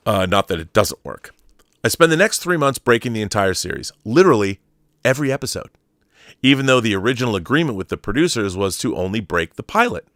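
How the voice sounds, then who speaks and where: A man's voice: fairly low pitch, a bit bright, dry recording, clean studio sound. A man hosting an online show, reading an article aloud in an emphatic storytelling way and adding explanatory asides.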